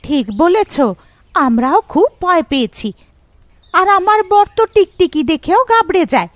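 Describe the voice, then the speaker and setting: A woman's voice: extremely high pitch, sing-song, moderate pace, dry recording, voice pushed up. A woman voicing gossipy, timid village housewives in a fairy-tale cartoon, chatty and exclaiming. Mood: nervous gossip.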